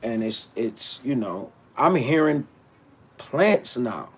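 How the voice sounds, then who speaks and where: A man's voice: mid-range voice, slow, slightly rough. Blunt, stammering man ranting on a stream. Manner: blunt and animated, with stammered restarts; talks back to his chat. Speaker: battle rapper streaming to his chat. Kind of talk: casual livestream rant.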